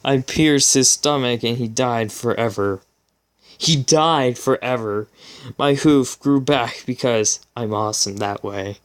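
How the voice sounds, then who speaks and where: A man's voice: medium pitch, unhurried, smooth. A man hosting a casual comedic fan fiction reading, informal and amused, stumbling over his words as he goes. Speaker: channel host.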